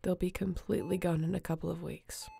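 Casting: actress for tender, guilt-ridden female space captain, heavy vocal fry, halting emotional delivery, sci-fi roleplay. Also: fairly low pitch, level tone, mellow. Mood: gentle, reassuring. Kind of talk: sci-fi audio roleplay acting.

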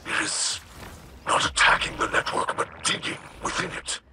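A man playing a gentle, scholarly alien ally in a video game, speaking in a hushed, breathy, measured and formal way. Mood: solemn guidance. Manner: measured, formal, hesitant, wonder-filled. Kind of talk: scripted video game dialogue.

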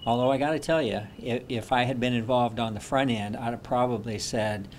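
A man's voice: steady tone, fairly quick, darkish tone. A middle-aged man giving an unscripted interview answer indoors. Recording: low rumbling background noise.

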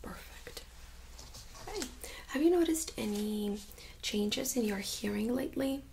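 Hushed, creaky-voiced woman doing ASMR. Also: fairly high pitch, expressive, measured pace, slight background noise, low-quality recording. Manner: hushed, often whispering, gently instructing. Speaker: ASMR performer playing a nurse examiner. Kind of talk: soft-spoken ASMR medical role-play. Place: small indoor room set up as exam room.

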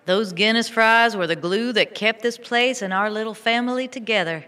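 Bright-voiced, sentimental small-town woman. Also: on the high side, moderate pace. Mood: wistful. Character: sentimental small-town woman remembering her diner family.

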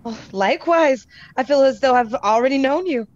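A woman's voice: high voice, lively intonation, normal speed, muffled recording. A woman playing a polite, fussing older mother and hostess in a radio play. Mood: gracious.

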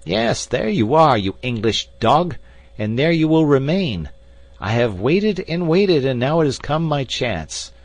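A man's voice: fairly high pitch, sing-song, a little slow, no room sound, pitched above the performer's own voice. A man voicing a frenzied, vengeful villain who taunts and gloats theatrically in an audiobook adventure story. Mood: gloating fury.

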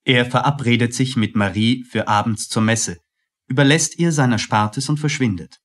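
A man narrates a radio drama from a script. His voice is medium in pitch, setting out scenes as a storyteller. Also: normal speed.

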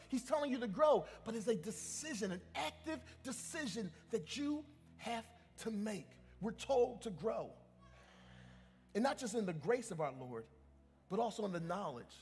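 A man's voice: extremely high pitch, slightly rough, big-room sound. A male pastor preaching a sermon in a church. He speaks emphatically, often restarting his sentences and calling out to the congregation. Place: church sanctuary.

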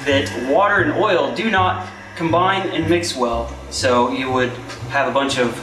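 A man's voice: medium pitch, unhurried, recorded from a distance. A young man working as a cook gives casual kitchen safety tips, speaking plainly with many fillers. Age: young adult. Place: commercial kitchen. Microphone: far camera. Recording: roomy kitchen from off-mic, noisy.

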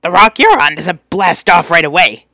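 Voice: mid-range voice, expressive, moderate pace, pushed and bright, no echo, studio quality. A performer voicing an irritable, sarcastic young male troll from a webcomic, scornful and exasperated. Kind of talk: reading fan voice lines from a webcomic.

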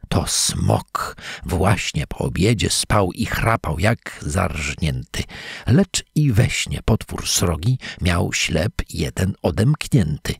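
A man reading a fairy tale in verse aloud, as a measured, dramatic storyteller. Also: low voice, sing-song, gravelly, husky, no echo, studio quality. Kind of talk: expressive scripted fairy-tale narration in verse.

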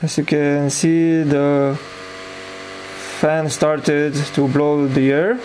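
Slow, halting man presenting a demo. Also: fairly high pitch, flat delivery, a little mellow, slight background noise, poor audio. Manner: hesitant, halting phrasing. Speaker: presenter of an electronics project. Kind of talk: informal hands-on demonstration.

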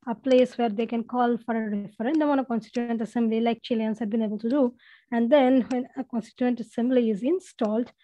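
Female panelist, young adult, slightly high and soft-toned, posing discussion questions, dry headset audio. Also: fairly even intonation, brisk, dry recording, poor audio. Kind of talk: political discussion. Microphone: headset on a video call.

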